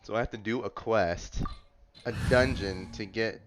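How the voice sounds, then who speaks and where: A man's voice: fairly low pitch, measured pace. A man giving casual, offhand gameplay commentary, often muttering to himself and teasing as he goes. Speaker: gaming content creator. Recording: game music behind the voice.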